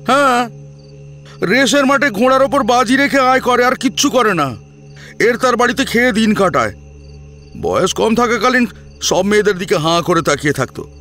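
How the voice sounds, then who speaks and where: High-pitched, scornful young gossip. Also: male voice, moderate pace, long pauses, faint music behind. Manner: excitable, disapproving, colloquial. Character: young man, gossipy scornful friend of the hero.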